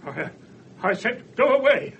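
An actor playing a frightened, defiant retired bank manager in a radio drama. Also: male voice, very high voice, sing-song, unhurried, a bit bright, heavily acted. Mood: panicked. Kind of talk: 1970s British radio drama acting. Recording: muffled 1970s radio broadcast.

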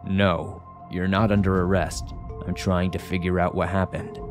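A man voicing a stern middle-aged sheriff who calmly questions an accident victim. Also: low voice, music in the background, lightly acted. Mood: calm, probing. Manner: measured, probing questions.

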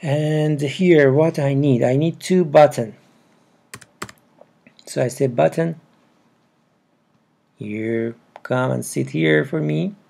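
A man with a non-native English accent narrates a casual step-by-step tutorial, with halting, broken phrasing. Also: on the high side, creaky, dark tone. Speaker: programming tutorial presenter. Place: indoors at a computer.

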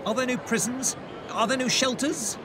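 A man's voice: very high voice, normal speed, a crowd in the background. An actor playing a miserly, irritable businessman, curt and scornful, in a modern audio drama. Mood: irritated. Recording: noisy urban and vehicle ambience behind.

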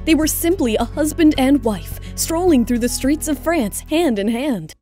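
A woman's voice: high voice, expressive, normal speed, warm tone, soft background music. A woman narrator reading a scripted romantic drama in audiobook style.

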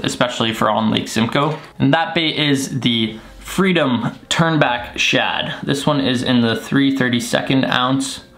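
A young man in his twenties casually explaining gear to the camera from a small bedroom, relaxed, with frequent fillers. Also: medium pitch. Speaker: fishing channel host. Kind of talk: casual tutorial vlog.

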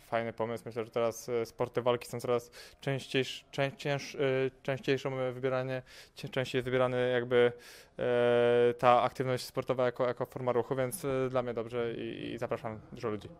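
A man's voice: medium pitch, level tone, normal speed, clear, resonant tone. A young man, a boxer, answering interview questions into a handheld mic at an indoor event, hesitant with many fillers and repeated words. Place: event press wall, indoors. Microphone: handheld mic held by interviewer.

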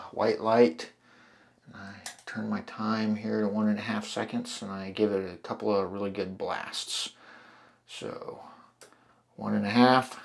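A man hosting a hands-on darkroom printing tutorial, talking through each step in a relaxed way with fillers and restarts. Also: fairly low pitch, gravelly, a little hiss or hum. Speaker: photography channel host. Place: home darkroom in a laundry room.

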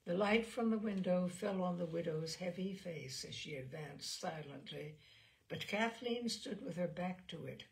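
A woman reading a literary short story aloud as a storyteller, with expressive, measured phrasing. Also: fairly low pitch, vocal fry, poor audio. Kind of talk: literary audiobook narration.

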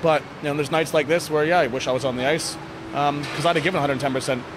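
A man in his thirties, a sports coach giving a candid, casual post-game interview in a plain-spoken, halting way with repeated words. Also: fairly high pitch, steady tone. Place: indoor sports hall, blue block walls. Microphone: handheld interview microphone. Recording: noisy venue with background ambience.

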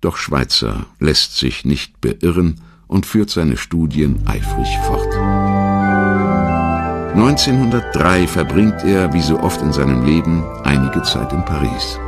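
Very deep-voiced male documentary narrator. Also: expressive, a little slow, creaky, over loud music. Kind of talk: scripted biographical narration.

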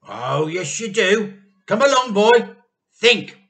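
A man voicing an excitable, bossy farmer father in a storybook, booming out drawn-out exclamations. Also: heavily acted. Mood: impatient, bossy.

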